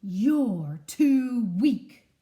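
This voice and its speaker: A woman voicing big, scornful animal bullies in a children's picture book, loud, slow and taunting. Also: a little high, animated. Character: scornful big male football animals: eagle, buffalo, bear.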